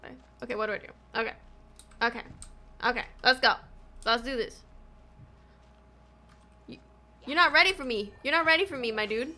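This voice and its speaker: High, bright-voiced woman gamer. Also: brisk, long pauses. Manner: animated, exclamatory, slangy. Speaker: gaming livestreamer. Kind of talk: casual gameplay commentary. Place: small indoor room at home.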